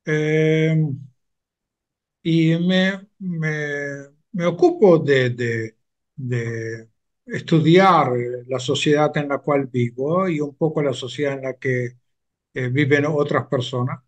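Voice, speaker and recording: Slow-spoken older man. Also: a little high, highs cut off. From Argentina. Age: 70s. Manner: measured, hesitant with frequent "eh" pauses. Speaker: interview guest, retired sociologist. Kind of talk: slow, thoughtful interview answers. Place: home room with bookshelves. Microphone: headset on a video call.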